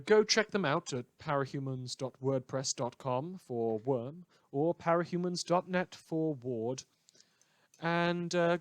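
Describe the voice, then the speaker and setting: A podcast host of middling pitch delivers informal credits for a fan production. The manner is hesitant and slightly rambling, with wry understatement. The recording is dry, with no room echo.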